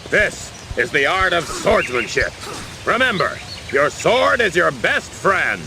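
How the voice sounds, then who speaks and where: Video game drill-sergeant general: bombastic, impatient, high-pitched for a man, swinging widely in tone. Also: very animated, slow, gravelly, background noise, heavily acted. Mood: formal, instructing. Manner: blustering, commanding. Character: gruff, impatient, bombastic male army general. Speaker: army general and drill instructor. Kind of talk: video game voice acting, barked drill speeches.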